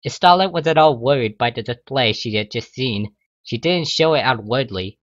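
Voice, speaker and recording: A man's voice: medium pitch, lively intonation, normal speed, dry recording, highs cut off. A man narrating a scripted fan fiction story, sometimes stumbling and rereading a phrase.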